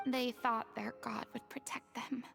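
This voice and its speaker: Remorseful young woman, game character, soft half-whispered voice, gravelly, high-pitched. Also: level tone, unhurried, faint music behind, full character voice. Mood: wistful. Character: remorseful young woman, former goddess pretender.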